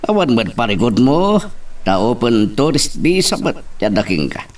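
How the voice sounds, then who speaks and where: A man with an Ilocano Filipino accent playing a supportive, concerned father, gently urging, in an audio drama. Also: mid-range voice, sing-song, moderate pace, lightly acted. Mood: concerned, persuasive. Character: concerned, supportive father advising his grown daughter. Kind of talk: Ilocano radio-style drama acting. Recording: distant from the microphone.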